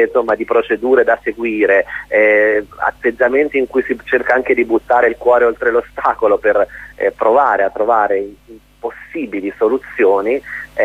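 A man's voice: fairly low pitch, slightly rough, dry recording. A man being interviewed on radio as a guest playwright and director, explaining at length in a reflective, articulate way over the telephone. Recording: muffled phone-line sound.

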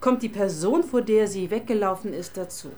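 A woman's voice: medium pitch, normal speed, darkish tone, lightly acted. An actress playing a sardonic, businesslike female police inspector in charge, in a German radio crime drama.

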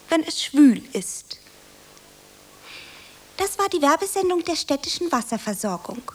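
A woman playing a dreamy, self-dramatizing young aspiring actress in a radio play, theatrical and whimsical in her delivery. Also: fairly high pitch. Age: young adult.

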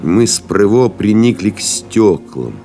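An actor playing a weary, stoic pilot stranded in the desert, narrating gravely and deliberately in an audio play, with music behind him. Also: male voice, lowish voice, animated, mellow. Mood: tense, watchful. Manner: grave, deliberate, brooding, with pauses. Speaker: actor narrating in first person.

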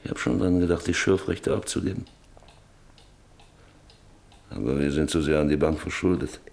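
An embittered landowner obsessed with his venture in a radio drama. His voice is very low and creaky, and he speaks with brooding insistence. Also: moderate pace, a little mellow, heavily acted. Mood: desperate, weary. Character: embittered, obsessed, paralysed landowner John Beverly drilling for oil. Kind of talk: German radio play acting.

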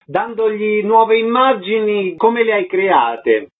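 High-voiced male radio host. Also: lively intonation, slow pace. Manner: brief guiding questions. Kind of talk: radio interview. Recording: lo-fi radio broadcast.

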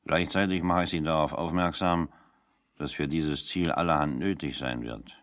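An actor playing a shrewd working-class refugee in a radio play, talking with wry, mock-formal solemnity. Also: male voice, a bass voice, steady tone, normal speed, a bit bright. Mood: solemn, sly. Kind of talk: 1970 German radio play dialogue.

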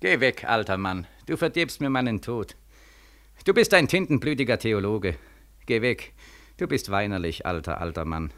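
Young war-broken soldier, 1940s radio drama: bitter, accusing, gravelly edge, wide swings of pitch. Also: male voice, expressive, normal speed, a bit bright. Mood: scornful. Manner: insistent, rhetorical questioning. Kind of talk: 1940s German radio play acting.

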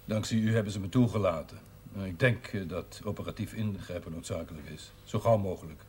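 An actor playing a calm, matter-of-fact village doctor in a television drama, speaking in a measured, instructing way. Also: male voice, low-pitched, close to an everyday voice. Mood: grave. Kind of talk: naturalistic television drama acting. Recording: slightly noisy old TV soundtrack.

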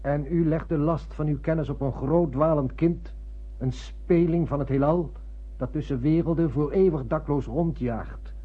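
Cautious first officer: husky, formal, pleading with restraint, radio drama, narrow phone-like recording. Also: male voice, a little high. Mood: earnest, pleading. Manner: formal, earnest, measured. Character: sober, dutiful male ship's officer, cautious and pleading. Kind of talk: radio play acting. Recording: thin muffled phone-like radio play recording.

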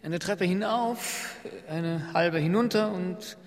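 An actor in a radio play playing a plodding palace attendant who speaks deliberately, step by step. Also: male voice, high voice. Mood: unhurried. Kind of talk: German radio play acting. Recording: long hall reverb, faint music.

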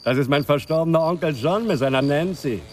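An actor in a German radio drama playing a suspect's nephew who casually answers the police. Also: male voice, fairly high pitch, fairly quick, darkish tone, a little hiss or hum, close to an everyday voice. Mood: casual denial.

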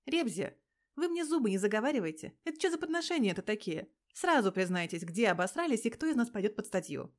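A woman's voice: mid-range voice, big pitch swings, fast talker, natural voice. A woman voicing a brash, foul-mouthed, suspicious petite policewoman in an audio story, blunt and slangy. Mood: scolding, sarcastic.

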